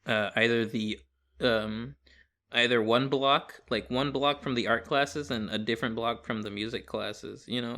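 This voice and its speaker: A man's voice: medium pitch, pushed and bright. A young man co-hosting a podcast in casual comedic chat, rambling through his stories with plenty of swearing. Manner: joking, profane, rambling storyteller. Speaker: podcast co-host.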